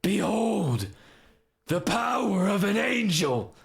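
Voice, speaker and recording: Proud, vengeful angel warrior for a game: very slow, grandiose delivery, gravelly edge, light reverb. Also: male voice, high voice, big pitch swings, voice pushed up, full character voice. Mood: righteous command. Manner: grandiose, archaic, slow dramatic declamation. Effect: added reverb and delay.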